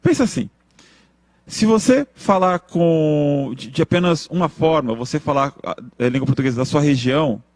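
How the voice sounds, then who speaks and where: A man's voice: on the high side, expressive, normal speed, a little mellow, no echo. A man giving an emphatic introduction to a lesson, asking rhetorical questions to draw the viewer in.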